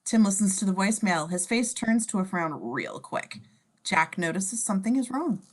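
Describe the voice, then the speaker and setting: A woman's voice: mid-range voice, animated, moderate pace. A woman reading scene descriptions in a playful, slangy way, mixing scripted narration with casual joking asides.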